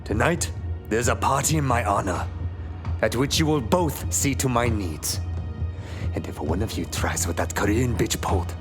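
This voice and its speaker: An actor playing a cruel, lecherous Japanese admiral in an audio drama, commanding and coldly menacing. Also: male voice, medium pitch, expressive, normal speed, music in the background, lightly acted.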